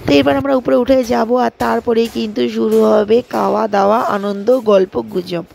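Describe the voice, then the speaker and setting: A woman's voice: on the high side, steady tone, fairly quick, vocal fry, a little mellow, soft background music. A woman narrating a casual family vlog, chatting and explaining things to viewers. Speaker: vlogger narrating her day. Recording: faint background noise.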